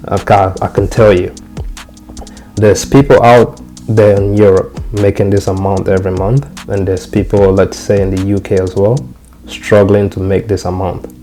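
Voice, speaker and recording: Young male host from Cameroon, low and relaxed tone, casual repetitive walkthrough, faint music bed. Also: moderate pace. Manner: repetitive, restarts phrases, talks to viewers as "guys". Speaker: trading channel host.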